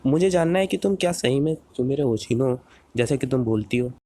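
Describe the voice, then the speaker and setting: An excitable boy superhero from a cartoon dub, acted by a man, with a mid-pitched, mellow voice. He sounds earnest and pleading, tripping over repeated words when flustered. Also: fairly quick, lightly acted. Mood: hesitant, pleading.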